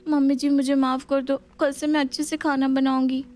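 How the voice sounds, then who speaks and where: A woman's voice: high-pitched, level tone, normal speed, a little mellow, voice pushed up, lightly acted. A woman voicing a lazy, scheming young daughter-in-law who whines and pleads in a family story. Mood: pleading. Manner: whining, pleading.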